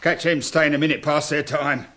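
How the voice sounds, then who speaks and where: An actor playing a matey, grumbling working-class depot worker with a London accent in a radio drama. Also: male voice, on the high side, normal speed, sharp tone. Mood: offhand. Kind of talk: British radio drama acting.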